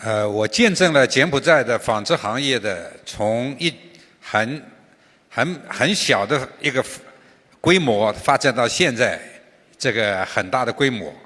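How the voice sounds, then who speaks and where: Slow, halting older man, native Chinese speaker. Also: mid-range voice, animated, pushed and bright. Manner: slow and halting, with many fillers. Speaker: industry association chairman. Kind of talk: panel answers. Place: large conference hall. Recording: reverberant conference hall.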